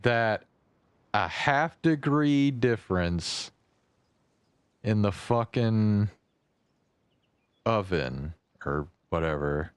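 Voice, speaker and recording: A man streaming a video game, giving casual commentary in a halting, slangy way on a close mic. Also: lowish voice, animated, clear, resonant tone, dry recording.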